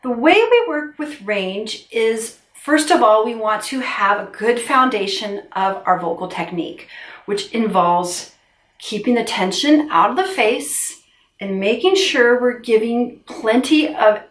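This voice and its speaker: A woman's voice: mid-range voice, far from the mic. Middle-aged female vocal coach, encouraging teacher, a bit off-mic in an echoey room. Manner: warm encouraging teacher, gestures with hands while explaining. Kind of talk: instructional video lesson. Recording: roomy sound, a little off-mic.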